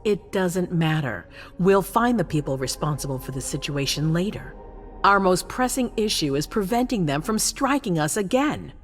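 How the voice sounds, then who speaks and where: Woman acting a low-voiced imperious vampire ruler. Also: expressive, faint music behind, natural voice. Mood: imperious, menacing. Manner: regal, controlled, deliberate, at times hushed. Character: imperious female vampire prince, cold, calculating ruler. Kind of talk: dramatic video game acting.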